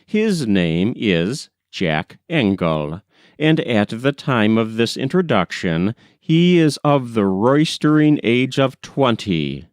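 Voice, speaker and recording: A man reading a literary story aloud as scripted narration, in a measured storytelling manner. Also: mid-range voice, sing-song, dry recording, studio quality. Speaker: audiobook reader.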